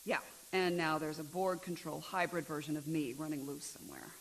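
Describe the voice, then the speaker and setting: A woman's voice: deep voice, steady tone, fairly quick, slight background noise, low-quality recording. An actress playing a blunt, wary former-Borg woman officer in a video game, speaking dry and matter-of-fact. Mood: concerned. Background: game music.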